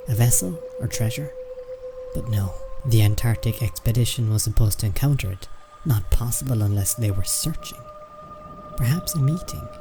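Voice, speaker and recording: Man acting a suspicious, probing observer, mid-pitched. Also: lightly acted. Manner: musing, probing, archaic rhetorical questions. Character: watchful, suspicious deducer, puzzling out another's secrets. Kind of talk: theatrical radio drama monologue. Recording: music playing loudly behind.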